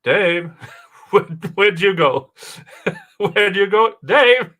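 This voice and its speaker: Male streamer, unhurried and bright-toned, informal, joking delivery. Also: high voice, animated. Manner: jokey, quick to laugh. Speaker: livestream host. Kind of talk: casual livestream chat.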